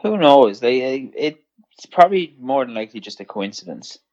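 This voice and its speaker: A man's voice: mid-range voice, sing-song, moderate pace, a little mellow. Casual male podcast co-host, slightly dull sound. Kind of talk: casual chat.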